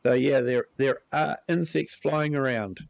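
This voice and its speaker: Slow-talking New Zealand man, slightly croaky. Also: mid-range voice, no echo. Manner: relaxed, chatty, greets viewers by name, many ums. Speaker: livestream host. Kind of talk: live gameplay commentary.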